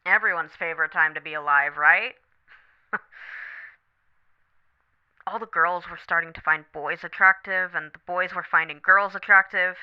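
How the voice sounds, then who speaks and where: A woman playing a supportive, candid best friend on a late-night call in an ASMR roleplay, talking casually, gently and thoughtfully. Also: lowish voice, normal speed, long pauses, clear, resonant tone, highs cut off, lightly acted. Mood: reflective, vulnerable. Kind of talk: scripted ASMR roleplay, one side of a phone conversation.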